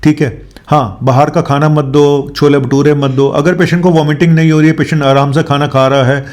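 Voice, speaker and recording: A man's voice: on the high side, steady tone, brisk, a little mellow. A man speaking as a doctor on his health show, explaining informally with English medical terms mixed in and frequent tag questions.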